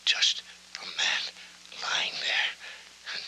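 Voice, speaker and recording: An actor playing an injured, frightened old witness in a 1940s film noir, halting and breathy, trailing off as if in pain. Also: male voice, sharp tone, whispered. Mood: afraid, rambling. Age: older. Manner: halting, trailing off, repeating words. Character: frail, dazed, injured old dockside witness, rambling and frightened. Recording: noisy, humming 1940s film soundtrack.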